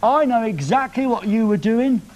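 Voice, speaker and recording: A man's voice: very high voice, expressive, moderate pace, darkish tone, muffled recording. A man hosting a live TV show, teasing a caller on the phone with cheeky questions. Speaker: TV presenter. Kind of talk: live TV prank phone call. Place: TV studio.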